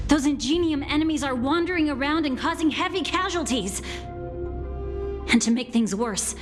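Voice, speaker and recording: An actress playing an anxious, dutiful prison warden in a video game, reporting urgently and formally. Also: female voice, high voice, natural voice. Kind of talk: dramatic video game acting.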